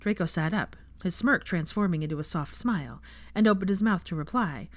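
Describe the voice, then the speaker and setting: A woman's voice: on the low side, a little fast, dry recording. A woman narrating a story from a script as an audiobook-style reader of fan fiction.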